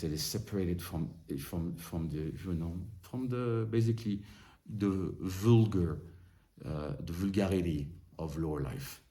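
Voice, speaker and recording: A man teaching a study group on a religious text in an informal lesson. He often restarts and repeats words, then turns more animated as he works through a passage. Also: low voice. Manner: halting, restarts and repeats words while explaining. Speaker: Torah teacher.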